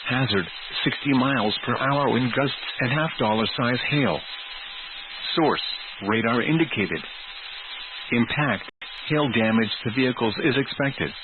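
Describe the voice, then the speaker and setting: Over a thin, narrow radio channel with clear noise, a male announcer reads an emergency weather bulletin. His delivery is formal, measured and synthetic-sounding, in a mid-pitched voice. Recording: tinny, noisy weather radio broadcast.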